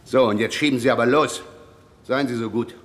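Man playing a cheeky, smooth-talking uninvited visitor. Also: mid-range voice, brisk, a bit bright, close to an everyday voice. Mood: cheeky, self-assured. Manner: chatty, ironically courteous, teasing. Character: smooth, talkative, cheeky male visitor, self-assured and slyly polite. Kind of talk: German radio crime comedy acting.

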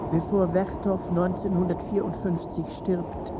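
Actress as solemn, slow underworld guide. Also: female voice, on the low side, flat delivery, very noisy, lightly acted. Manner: measured, solemn. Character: solemn female guide presiding over dead witnesses in underworld. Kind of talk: German radio drama acting, ceremonial narration. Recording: muffled, long reverb.

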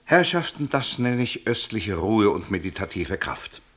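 An actor playing an effusive, patronising sales boss in a radio play, speaking in a grandiose way. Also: male voice, on the low side, sing-song, a little slow, fairly bright tone, full character voice. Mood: impressed, effusive. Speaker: company superior.

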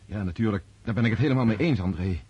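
An actor in a Dutch radio drama playing an earnest, naive civilian gentleman, inquisitive and tentative, on a slightly dull recording. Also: fairly low pitch, fast, husky. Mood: questioning.

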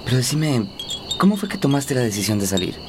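Shy young man, played by an actor, medium pitch, hesitant and caring, radio drama with noisy insects and chimes behind. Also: sing-song, fairly quick, close to an everyday voice. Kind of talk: radio soap opera acting.

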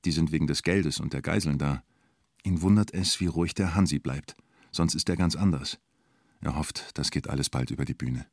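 An actor narrating a radio play in the present tense as an observant storyteller, in terse, understated fragments. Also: male voice, very deep voice, moderate pace, no room sound, studio quality. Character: male storyteller following an onlooker at a siege, observant.

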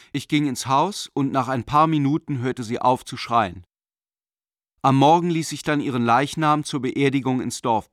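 An actor playing a middle-aged, self-justifying German banker turned Nazi believer, reading a letter in a controlled, formal way in a radio play. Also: male voice, medium pitch, a bit bright, no room sound, clean studio sound, natural voice.

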